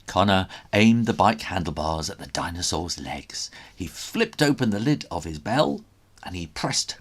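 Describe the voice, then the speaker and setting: A man reads a children's adventure story aloud with dramatic expression. His voice is husky and swings widely in pitch as he takes his time over each phrase. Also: lively intonation, measured pace. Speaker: children's author reading own story.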